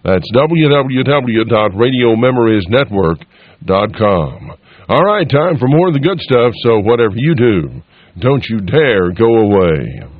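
A man's voice: medium pitch, big pitch swings, unhurried, no echo. A man announcing as a radio host, chatting to listeners in a folksy, emphatic promotional style. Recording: narrow phone-like band, dry.

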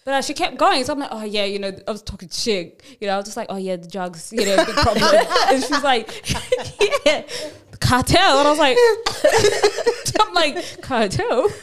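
Laughing high-voiced woman. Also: normal speed. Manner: laughs often, animated storytelling. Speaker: podcast co-host. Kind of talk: casual chat.

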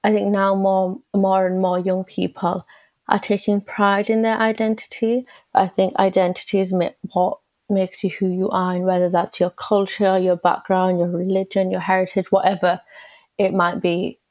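A woman's voice: medium pitch, little pitch movement, moderate pace, a little mellow, dry recording. A young woman from England with an English accent, chatting as a podcast guest with frequent filler words. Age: young adult. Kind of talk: casual conversational answers.

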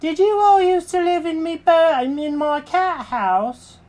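A man's voice: extremely high pitch, measured pace, a little mellow, voice pushed up. A man voicing a chatty, vain male cat in a children's story, full of dramatic questions and exclamations. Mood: curious.

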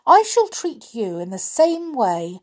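A woman voicing a stern, regal female fairy queen in a storybook reading, speaking firmly and slowly. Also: on the high side, very animated, exaggerated voice. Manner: firm, measured and scolding.

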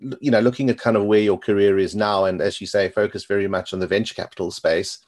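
Podcast host: middle-aged man, lowish voice, quick talker, rambles through questions. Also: fairly even intonation. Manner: fast, rambling questions with repeated "you know". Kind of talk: interview questions.

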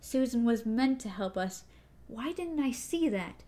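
A stern young gunslinger, cold and threatening, as a woman reading aloud puts him on. He lays down slow, grave warnings in a storybook reading. The voice stays close to the reader's own. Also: on the high side, expressive, mellow. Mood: grim.

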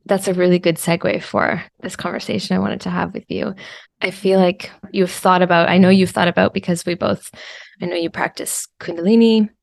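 Gravelly low-voiced woman host. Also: fairly even intonation, a little fast, dry recording. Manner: thoughtful and searching, with many fillers. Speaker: podcast host. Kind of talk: reflective interview conversation.

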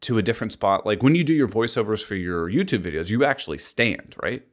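A man's voice: lowish voice, expressive, fast talker. A man co-hosting a podcast, chatting casually and rambling with lots of "like", recorded close on a voice mic in an apartment. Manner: rambling, heavy use of "like". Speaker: podcast co-host. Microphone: voice mic a couple inches from mouth.

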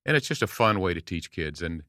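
An older man in his late sixties with a low, bright-edged voice speaks earnestly as a podcast guest. He is recorded close and dry, with no room sound. He tells small stories and slips into quoted voices to make his points. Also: lively intonation, fairly quick, dry recording. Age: 60s. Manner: earnest, storytelling, warm encouragement with acted quotes. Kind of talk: casual podcast conversation.

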